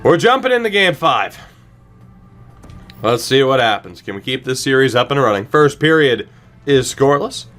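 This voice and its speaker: A man commentating on a video game livestream, talking to his viewers in an animated way and repeating phrases for emphasis. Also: medium pitch, moderate pace, long pauses, faint music behind.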